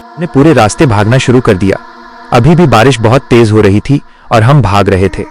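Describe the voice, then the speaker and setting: A man's voice: medium pitch, normal speed, music in the background. A man narrating a scripted horror story in the first person.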